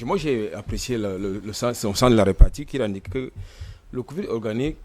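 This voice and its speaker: A man speaking as a panelist in a televised debate, measured, repeating words as he builds his point. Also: on the low side, darkish tone.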